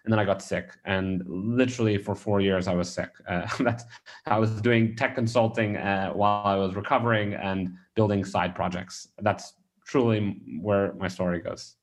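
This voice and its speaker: A man's voice: on the low side, steady tone, normal speed. A man from Australia, a startup founder, answering interview questions in a rambling way with many restarts and fillers.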